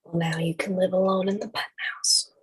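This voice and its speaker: A woman's voice: fairly low pitch, fairly even intonation, normal speed, husky. A woman playing a stern, aggrieved man from a video game world in a script read, curt and cold. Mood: cold, resigned. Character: stern, resigned, aggrieved male game resident. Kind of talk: animated film script table read.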